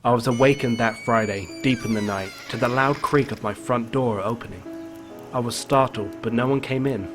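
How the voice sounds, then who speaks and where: Mystery game lead: male actor as troubled first-person narrator, mid-pitched, uneasy, music underneath. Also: moderate pace, natural voice. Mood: uneasy narration. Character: uneasy, haunted man telling his own mystery story. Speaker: narrator and main character of a game.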